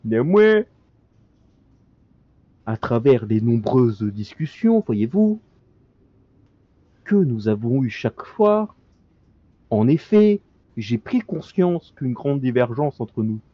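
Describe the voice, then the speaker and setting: A man's voice: medium pitch, very animated, takes it slowly, long pauses, no room sound, lo-fi sound. A male streamer reading in-game documents aloud during a co-op session, stumbling over words and breaking off into joking asides.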